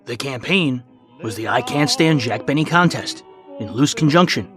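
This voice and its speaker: A man narrates a documentary podcast from a script. His mid-range voice is measured and clearly phrased, with faint music underneath. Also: mid-range voice, expressive. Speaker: podcast host.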